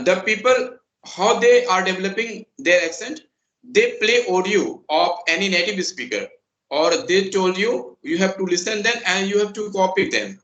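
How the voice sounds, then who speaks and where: Bright high-voiced Indian man, earnest. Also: echoey room, rough recording. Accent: Indian English. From Bihar, India. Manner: earnest, polite, often says "sir". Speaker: interviewee, English learner. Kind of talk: casual conversational interview.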